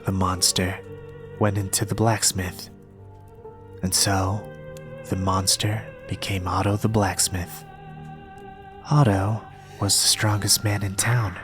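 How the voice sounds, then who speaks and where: Young man, soft-spoken ASMR storyteller, partly whispered, very even low tone, gentle, faint music behind. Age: young adult. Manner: slow, gentle, measured delivery. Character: polite soft-spoken young male reader, quietly eerie. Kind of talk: ASMR storybook reading in character.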